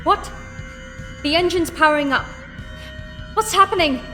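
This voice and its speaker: A woman plays an earnest space fleet recruit in an audio drama. Her voice is high and unhurried, ringing in a long reverb with music behind it. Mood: alarmed.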